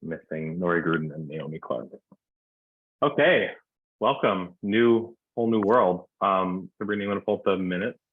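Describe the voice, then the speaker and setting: A man chairing a municipal arts commission meeting, running roll call in a relaxed, informal way with plenty of fillers. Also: sits low, moderate pace, no room sound. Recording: dry tinny phone-like line.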